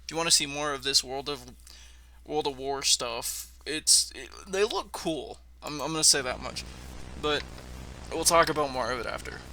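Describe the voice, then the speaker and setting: A man's voice: fairly high pitch, moderate pace, sharp tone, poor audio. A man giving casual, off-the-cuff reaction commentary as a host, musing and questioning aloud as he goes.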